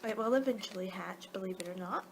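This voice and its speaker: A woman performing a soft-spoken, partly whispered ASMR roleplay as a casual vampire, rambling and halting as she goes. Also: mid-range voice, background noise. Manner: rambling, halting, losing her train of thought. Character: young-for-her-kind female vampire guiding a client, casual, a little exasperated.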